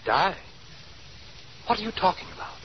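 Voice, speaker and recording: A man's voice: high voice, very animated, moderate pace. An actor playing a wry, worried, smitten male companion in a 1930s radio drama, urgent and conversational. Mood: startled. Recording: noisy, muffled 1930s radio recording.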